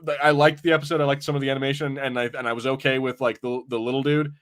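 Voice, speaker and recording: Male podcast host, middle register, loose informal review chat with halting phrasing. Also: mid-range voice, level tone, a bit bright. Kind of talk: casual film and TV review chat.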